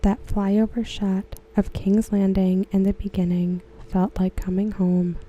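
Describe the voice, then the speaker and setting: A woman reading aloud softly for ASMR, gentle and hushed, with a breathy voice that often slips into a whisper. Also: medium pitch, level tone, unhurried, dull audio, a little hiss or hum. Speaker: ASMR host. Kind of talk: ASMR, soft-spoken reading of discussion comments.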